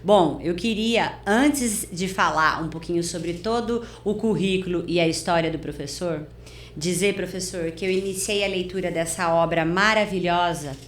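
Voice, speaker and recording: A woman's voice: fairly low pitch, moderate pace. A Brazilian woman hosting a studio interview in an effusive, emphatic, enthusiastic way. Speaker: interview host. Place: recording studio. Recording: roomy echo, faint background noise.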